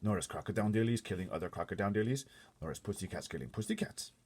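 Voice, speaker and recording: A man voicing a big, gentle, earnest storybook giant who speaks emphatically in muddled grammar and made-up words. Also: lowish voice, expressive, very fast, slight background noise, voice pushed up, natural voice. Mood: earnest, indignant. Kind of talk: storybook character voice.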